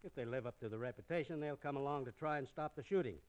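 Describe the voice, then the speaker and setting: An actor playing a bossy, scheming banker villain in an old radio western, speaking coldly and deliberately. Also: male voice, mid-range voice, natural voice. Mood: cold, commanding.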